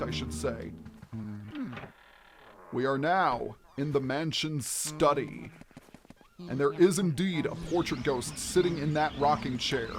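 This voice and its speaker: A man hosting a let's play, explaining the game in an expressive, instructional way. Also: fairly high pitch.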